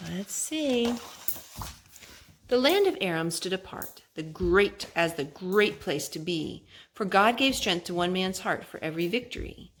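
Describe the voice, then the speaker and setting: A mother reading a rhyming children's book aloud to her child, gentle and sing-song, pausing to ask him questions. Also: fairly low pitch, lively intonation, slight background noise. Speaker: mother and storytime host.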